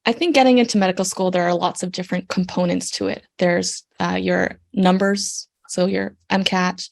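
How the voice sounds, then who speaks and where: A young woman from Canada answers interview questions in a friendly, explanatory way. Her voice sits low and has a gravelly fry. She often pauses with an "um" as she gives advice. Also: steady tone. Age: young adult. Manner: friendly and explanatory, with frequent ums. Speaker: guest, surgical intern.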